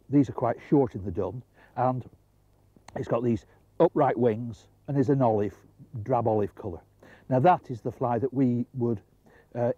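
An older English man presenting an outdoor demonstration of river insects, explaining in an unhurried, pointing-things-out way. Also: medium pitch, mellow, no room sound, highs cut off. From England. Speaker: fly fishing entomology presenter. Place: outdoors by an upland river among vegetation.

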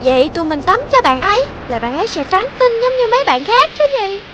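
A woman voicing a lively, stubborn young child in a children's cartoon, with a southern Vietnamese accent. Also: very high voice, fast, muffled recording, very noisy. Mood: friendly, chatty. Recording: music bed behind the voice.

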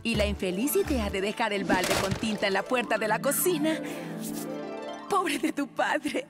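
A woman playing an eccentric, flustered middle-aged mother in a cartoon dub, theatrical and expressive. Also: on the high side, normal speed, loud music behind the voice, natural voice. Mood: earnest, confessional. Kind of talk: animated children's cartoon dub.

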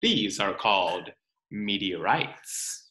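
A man in his thirties reading a children's picture book aloud in a deliberate, explanatory way, with dramatic emphasis on key words. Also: fairly low pitch, big pitch swings, bright, forceful tone. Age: 30s. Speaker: read-aloud presenter. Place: small room at home, indoors. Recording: roomy home recording.

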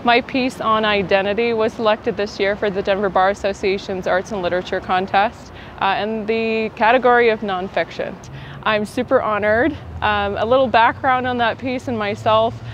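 A woman's voice: mid-range voice, fairly even intonation, moderate pace. A young woman from Colorado, a family lawyer, speaking earnestly outdoors on a lapel mic about her writing. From Aurora, Colorado. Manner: earnest, personal, with um fillers. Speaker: family law attorney. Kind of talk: prepared award acceptance remarks. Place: outdoors in an urban plaza. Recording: dull outdoor recording with street hum.

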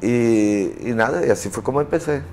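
A man's voice: mid-range voice, animated, normal speed, a little mellow. A man in his fifties, born in Italy, speaking as a guest in a TV studio on a lapel mic, telling stories in a loose, rambling way full of fillers. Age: 50s. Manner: rambling storyteller, chuckling, with fillers. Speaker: interview guest. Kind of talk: casual chat.

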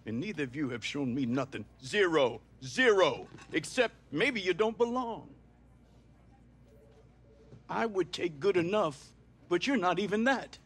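A man playing a gruff, impatient middle-aged football coach in a video game drama. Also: high voice, expressive, unhurried, fairly bright tone, heavily acted. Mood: scolding. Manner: gruff, scolding, commanding. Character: stern, impatient, hard-nosed male football coach. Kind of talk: scripted game drama acting.

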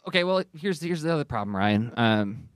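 A man in his forties hosting a casual talk show, speaking in an animated, emphatic way. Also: mid-range voice, fairly quick, no room sound, studio quality. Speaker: podcast host. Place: studio with a dark backdrop. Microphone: close broadcast mic on boom arm, headset.

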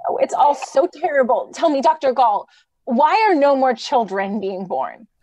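A woman's voice: high voice, sing-song, normal speed, warm tone. A woman playing an idealistic, anxious lady in a radio drama, speaking with earnest, theatrical pleading. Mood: anguished. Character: idealistic, anxious, gentle lady who loves humanity. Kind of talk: old-time radio drama acting. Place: remote video call.

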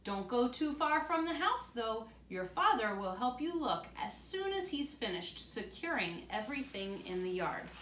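Woman reading as a reassuring mother, slightly high. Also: very animated, normal speed, hardly any pauses, a bit bright. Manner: reassuring, practical. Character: calm, reassuring mother in a storybook. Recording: roomy, distant camera mic with faint noise.

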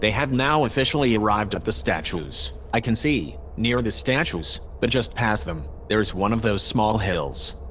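An actor playing a polite, precise AI observer in an audio drama, speaking in a formal, measured way. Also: male voice, fairly low pitch, animated, a bit bright, slight background noise, rough recording. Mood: calm, formal. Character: polite, precise male AI observer aboard a spaceship.

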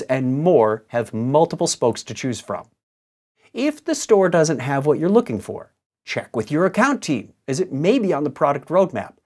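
A man in his fifties giving scripted software instruction in a clear, emphatic, didactic presenter's delivery. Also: fairly high pitch.

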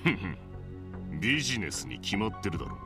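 A man's voice: lowish voice, sing-song, fairly quick, creaky. A man playing an imperious middle-aged mercenary commander in a game, haughty, menacing and commanding. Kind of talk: Japanese game voice acting. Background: game music.

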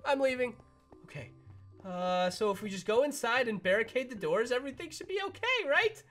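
A woman playing an excitable, jumpy animatronic fox in a chatty, theatrical Minecraft roleplay. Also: fairly high pitch, fairly quick, faint music behind. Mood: playful panic. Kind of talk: improvised Minecraft roleplay for a family audience.

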